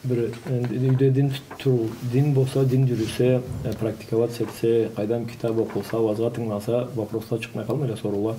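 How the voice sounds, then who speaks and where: A man's voice: medium pitch, little pitch movement, normal speed, few pauses, mellow. A man who is a native Karachay-Balkar speaker talking in an informal religious discussion. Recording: distant mic, noticeable background noise.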